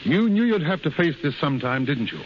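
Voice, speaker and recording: An actor playing a frank, avuncular, pragmatic American consul in 1930s radio drama style. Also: male voice, a little high, very animated, a little fast. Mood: grave, probing. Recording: muffled, hissy 1930s radio broadcast recording.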